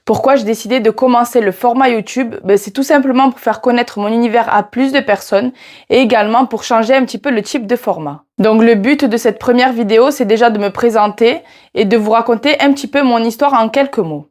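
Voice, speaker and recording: A woman's voice: fairly high pitch, a little fast, a little mellow. A woman in her twenties with a southern French accent, chatting casually to camera about teaching Portuguese and often turning to the viewer. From France. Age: 20s. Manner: chatty, addresses viewer directly with "tu vois". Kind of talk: casual vlog talking to camera.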